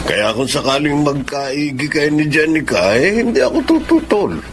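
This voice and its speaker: A man playing a kindly, trusting father of a grown daughter with a Filipino accent in a radio drama, gentle and earnest. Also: fairly high pitch, big pitch swings, normal speed, faint music behind. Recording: noisy ambient background.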